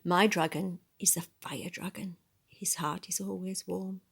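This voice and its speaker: A woman reading a picture book aloud in a soft, breathy voice, adding musing, conversational asides about the illustrations. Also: on the low side, steady tone, a little slow. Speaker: storybook reader.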